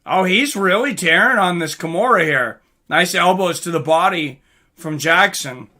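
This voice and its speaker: Bright-voiced man calling a fight casually. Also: sits high, normal speed. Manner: informal, conversational. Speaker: livestream fight commentator. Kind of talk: casual live fight commentary with chat asides.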